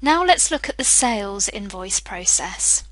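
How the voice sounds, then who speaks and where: Female demo presenter, mid pitch, bright and forward, scripted step-by-step narration, dry close recording. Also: lively intonation, a little slow, no echo. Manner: clear step-by-step explaining. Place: voice-over for screen recording.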